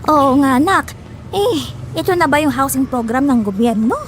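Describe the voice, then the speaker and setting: A woman playing a worried elderly mother in an audio drama, gently doubtful and questioning. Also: sits high, lively intonation, normal speed, a little hiss or hum.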